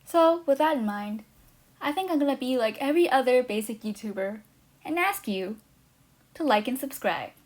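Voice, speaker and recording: A woman with a slightly higher voice introduces her language course. She talks quickly and eagerly, with a touch of nervous energy, reading from a prepared script. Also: animated, a little mellow. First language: not Chinese. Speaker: language teacher, channel host.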